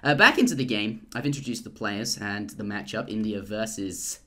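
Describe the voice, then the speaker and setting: A young man casting a live strategy game, rambling and jokey, and getting excited at big plays. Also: fairly low pitch, normal speed. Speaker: esports caster.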